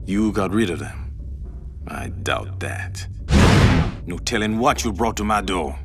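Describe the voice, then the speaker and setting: A suave, shrewd club boss with a deep male voice. He is measured, guarded and wry, as in a game cutscene, with loud music behind him. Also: animated. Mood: suspicious. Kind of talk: video game voice acting.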